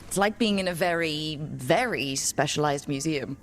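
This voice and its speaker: A woman playing a steady, supportive hero and party leader in a fantasy game, speaking calmly and reassuringly. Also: sits low, moderate pace, lightly acted. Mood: curious, dry. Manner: calm, reassuring.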